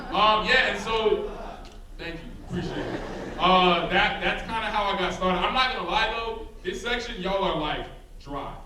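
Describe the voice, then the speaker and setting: A man's voice: high voice, a little slow. Laid-back young man in echoey hall. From South Side of Chicago. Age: young adult. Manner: laid-back, rambling, many "like" and "um" fillers. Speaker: rapper and guest speaker. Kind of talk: casual talk to a student audience. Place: large hall with a live audience. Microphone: handheld microphone. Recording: echoey hall, distant mic, crowd noise.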